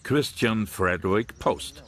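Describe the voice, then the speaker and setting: A man's voice: fairly low pitch, expressive, takes it slowly, crowd noise. A man reading scripted narration for a history documentary. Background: background music.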